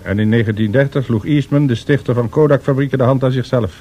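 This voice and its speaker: Man as serious radio docudrama narrator, medium pitched voice, music clearly behind. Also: fast, dark tone, natural voice. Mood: grave. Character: sober, grave male documentary narrator.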